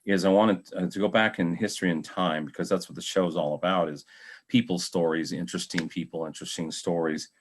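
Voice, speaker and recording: An American man with a fairly low voice works as an interviewer. He talks casually and wanders through his questions with a jokey, informal touch. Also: steady tone, fairly quick. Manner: rambling, jokey. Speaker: talk show host, interviewer. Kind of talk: casual joking interview chat. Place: remote video call.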